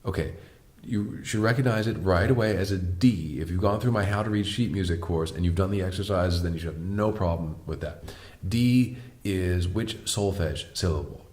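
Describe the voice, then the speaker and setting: A man with a deep voice teaching a piano lesson, patiently explaining step by step and asking the viewer questions. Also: normal speed, a little mellow. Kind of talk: online music lesson.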